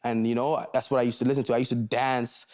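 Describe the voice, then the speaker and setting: Casting: young man from Canada, laid-back rapper, loose conversational talk, mid pitch. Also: level tone, fast talker, a little mellow. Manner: laid-back, loose, repeats "you see what I'm saying". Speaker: rapper guest. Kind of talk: casual interview chat.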